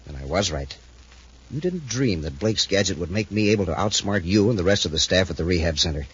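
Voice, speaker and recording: Calculating villain, commanding, smug, rough-edged voice, muffled old radio recording. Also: male voice, deep voice, expressive, fairly quick, a bit bright, heavily acted. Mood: cold, menacing. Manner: smug, commanding, coldly impatient. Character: arrogant, calculating escaped swindler turned criminal genius. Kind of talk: 1950s radio adventure acting.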